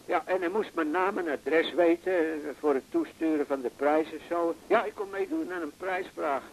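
A man's voice: a little high, normal speed, a little hiss or hum, natural voice, rough recording. An actor playing a chatty, boastful, jovial elderly male patient in a radio drama, with a folksy way of talking. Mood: puzzled, chatty. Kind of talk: naturalistic radio drama acting.

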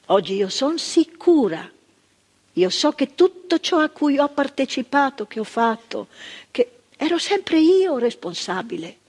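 A proud older retired carer. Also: female voice, on the high side, darkish tone, breathy edge, full character voice. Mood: reflective. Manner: emphatic, building repetitions, conversational asides to the listener. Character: retired female psychiatric worker, proud, impassioned, reflective. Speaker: stage actress in a monologue. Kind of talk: theatre monologue. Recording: stage recording with long reverb.

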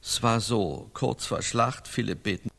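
A fussy, exasperated attendant in a satirical radio play, scolds his knight with pedantic relish. The pitch leaps about and the voice has a gravelly creak. Everything sits in a long reverberant hall. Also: animated, a little slow, fairly bright tone, full character voice. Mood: pedantic, dry.

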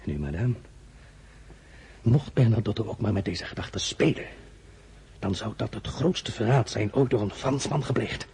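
Husky, low-voiced commanding weary emperor. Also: male voice, animated, unhurried, long pauses, a little mellow, full character voice. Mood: imperious, stern. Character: commanding, weary emperor, nostalgic for an old love. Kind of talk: dramatic radio-play acting.

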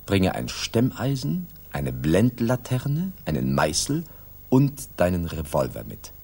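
An actor playing a detective's male companion as narrator of a 1960s German radio play, husky and measured. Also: sits low, wildly swooping pitch, warm tone, exaggerated voice. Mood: measured, suspenseful. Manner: measured, literary.

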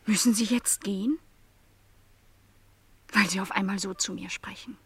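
An actress playing a young housemaid in a radio play, lonely, stubbornly resigned and quietly bitter, speaking in measured, formal sentences. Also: female voice, mid-range voice, steady tone, breathy edge. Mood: quiet, questioning. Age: young adult.

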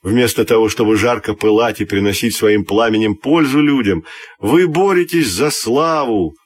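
Man voicing proud invisible gas spirit, husky. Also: on the low side, normal speed. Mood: proud, reproachful. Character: invisible, cheerful, proud young giant of natural gas.

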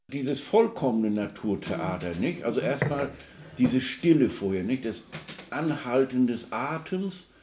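A man's voice: mid-range voice, warm tone. A man giving informal commentary, searching for his words as he goes and often checking for agreement. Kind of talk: informal spoken commentary on a composer.

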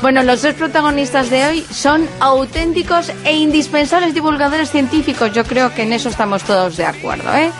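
A woman's voice: high voice, fairly quick, few pauses, music in the background. A woman with a Spanish accent, co-hosting a radio show in a chatty, hedging way. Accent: Spanish (Spain). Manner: chatty, hedging, self-correcting. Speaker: radio co-host. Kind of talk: radio chat.

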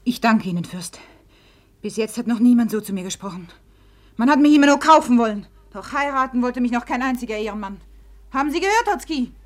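An actress in a 1950s German radio drama playing a proud, wounded, reckless young society beauty, theatrical and commanding, with bitter resignation underneath. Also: female voice, a little high, animated, brisk, lightly acted. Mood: bitter, defiant.

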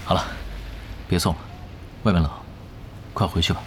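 A man's voice: deep voice, fairly even intonation, moderate pace, background noise, lightly acted. A man playing a laid-back, unassuming young gamer with a casual, understated delivery in a Mandarin audio drama. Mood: gentle, resigned. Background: background music.

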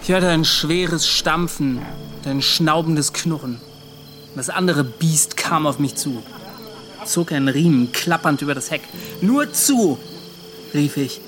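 A man's voice: a little high, lively intonation, slow, a bit bright, soft background music. A man acting in a German radio play as a haunted, defiant young ship's officer who tells of a shipwreck in an agitated, dramatic way. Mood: tense, bitter. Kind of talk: German radio play acting, intense first-person narration.

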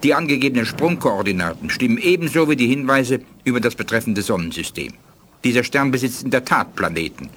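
A dignified alien scholar, played by a man in a sci-fi radio drama. His formal speech moves widely in pitch, over faint ambience. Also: animated, fairly quick, a bit bright, a little hiss or hum, natural voice. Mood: confirming. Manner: formal, precise. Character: dignified, learned alien scientist. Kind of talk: radio play acting.

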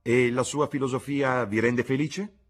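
A man's voice: medium pitch, fairly even intonation, a little slow, pitched above the performer's own voice, lightly acted. A man voicing a flirtatious, witty aristocratic duchess in arch, teasing society talk for an audiobook. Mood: probing.

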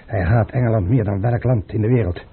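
An actor playing an anxious, hesitant and deferential Russian courtier in a Dutch radio play. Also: male voice, fairly low pitch, a little fast, husky, phone-quality sound. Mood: uneasy, deferential. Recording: thin, band-limited old radio recording.

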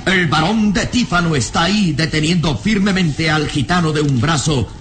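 A man's voice: fairly high pitch, normal speed, fairly bright tone, a little hiss or hum, lightly acted. A man narrating a radio serial drama in a solemn, suspenseful scripted style.

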